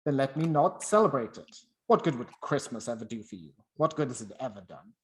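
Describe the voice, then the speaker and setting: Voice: medium pitch, big pitch swings, fairly quick, darkish tone, close to an everyday voice. A performer playing a miserly, bitter old businessman in a radio play, curt and scornful. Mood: stern, scornful.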